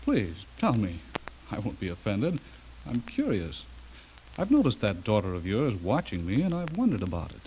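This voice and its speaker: A low-voiced, brooding bachelor writer. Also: male voice, huge pitch swings, moderate pace, darkish tone, slight background noise. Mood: anxiously curious. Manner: polite, guarded, brooding when narrating. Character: courteous, uneasy older bachelor writer suspected of being a vampire. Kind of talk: radio drama acting with first-person narration. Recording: dull lo-fi radio recording, music behind.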